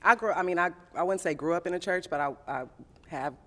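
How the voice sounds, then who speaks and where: A woman's voice: fairly low pitch, fast, big-room sound. A woman in her forties, a dating show contestant, talking in a chatty, easygoing way. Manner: chatty, easy laughs. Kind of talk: casual chat.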